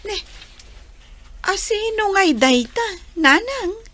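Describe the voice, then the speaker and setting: A woman plays a doubtful young village daughter with an Ilocano accent. Her voice is very high and unhurried, with a plaintive radio-drama lilt, recorded dry. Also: big pitch swings, fairly bright tone, no echo, exaggerated voice. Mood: doubtful, surprised.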